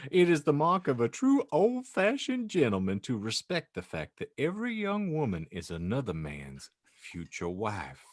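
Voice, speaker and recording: A man's voice: medium pitch, huge pitch swings, moderate pace, few pauses, dry recording. A man hosting a podcast, narrating fan fiction in a dry, mock-serious way. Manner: dry, mock-serious reading, breaking into laughs.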